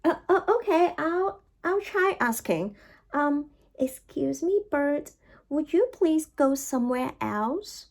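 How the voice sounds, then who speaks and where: A woman's voice: sits high, big pitch swings, slow, voice pushed up, heavily acted. A woman voicing an anxious, exasperated elephant from a children's storybook, full of incredulous questions. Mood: hesitant.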